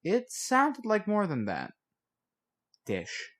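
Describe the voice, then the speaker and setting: A man voicing an earnest, supportive teenage girl with a gentle, coaxing, casual way of talking. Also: high-pitched, wildly swooping pitch, slow pace, pitched above the performer's own voice, full character voice. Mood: concerned, supportive.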